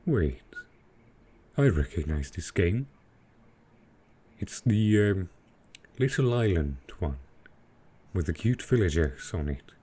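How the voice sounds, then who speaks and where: Deep, slow-talking dragon boyfriend, acted by a man. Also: sing-song, dark tone, slightly rough, heavily acted. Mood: curious, puzzled. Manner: slow, tender, hesitant speech. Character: affectionate, possessive male dragon boyfriend baffled by technology. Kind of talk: intimate boyfriend roleplay audio.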